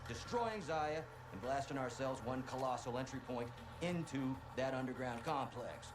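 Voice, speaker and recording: An actor playing a gung-ho military commander, giving a commanding, rousing mission briefing in a video game. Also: male voice, medium pitch, animated, normal speed, noisy background, close to an everyday voice. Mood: commanding, brisk. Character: gung-ho male military commander rallying troops. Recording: music behind the voice.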